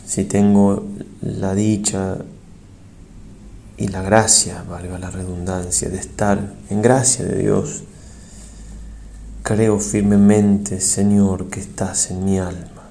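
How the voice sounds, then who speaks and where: A man, a priest, leads a guided prayer meditation in a solemn, reverent and measured way, pausing between short phrases. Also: deep voice, level tone, creaky, dark tone, a little hiss or hum.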